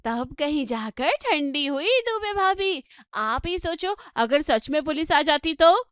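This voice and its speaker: Woman acting a high-pitched, nagging, gossipy housewife. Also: very animated, slow, a bit bright, dry recording, clean studio sound, full character voice. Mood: indignant. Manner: dramatic, nagging, exclamatory. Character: excitable gossipy scolding middle-aged Indian housewife and mother. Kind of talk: Hindi comedy story dramatisation.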